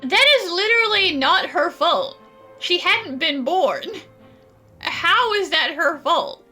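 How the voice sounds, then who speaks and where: A woman gamer commenting casually on a playthrough. Her voice is high and bright, with lively swings in pitch and an animated, opinionated way of talking. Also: takes it slowly, faint music behind. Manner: animated, opinionated, indignant on characters' behalf. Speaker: gaming streamer. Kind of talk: casual let's-play commentary and reading aloud.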